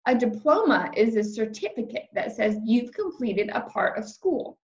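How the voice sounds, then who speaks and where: A woman's voice: a little high, very animated, moderate pace, dull audio. A woman voicing a cheerful, orderly kindergarten teacher in a storybook, addressing her class and explaining things simply. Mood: patient, explaining.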